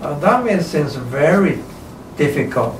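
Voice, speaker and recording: A man in his seventies from Taiwan with a Taiwanese accent, a kung fu teacher giving opinionated interview answers in halting, emphatic non-native English with repeated short phrases. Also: a little high, very animated. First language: Chinese. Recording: roomy, distant mic, faint background noise.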